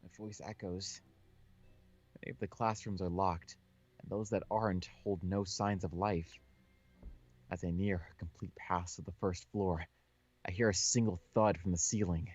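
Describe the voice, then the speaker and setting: Guy reading a visual novel out loud, lowish voice, dry kinda muffled mic. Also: steady tone, moderate pace, no room sound. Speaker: co-host playing and reading a visual novel aloud. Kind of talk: reading game text aloud with casual reactions.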